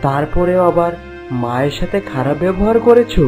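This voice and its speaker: A man's voice: high voice, expressive, fairly quick, darkish tone, soft background music. A man playing a stern young prince in a fairy-tale cartoon, speaking in a reproachful way. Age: young adult. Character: stern young prince of twenty-eight, devoted to his mother.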